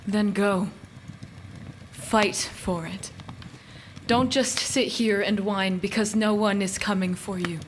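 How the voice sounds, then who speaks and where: A woman playing a stern, cool, unsentimental goddess in a radio play, speaking in a measured, deliberate, often hushed way. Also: mid-range voice, steady tone, slight background noise, close to an everyday voice. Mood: stern, goading.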